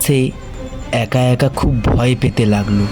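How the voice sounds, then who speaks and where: A man who narrates a cartoon horror story for his channel, telling it slowly and deliberately. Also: medium pitch, fairly even intonation, music in the background.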